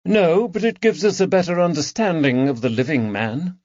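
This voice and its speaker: A man voicing a composed, inquisitive detective in an audiobook, measured and probing. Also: on the high side, a little mellow, dry recording, muffled recording, voice pushed up, lightly acted. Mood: calm, wry. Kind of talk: audiobook character dialogue.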